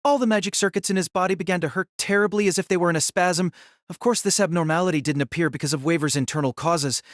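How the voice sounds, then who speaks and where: A man's voice: high-pitched, fairly even intonation, fast, dry recording, clean studio sound. A man reading dramatic scripted story narration for an audio drama.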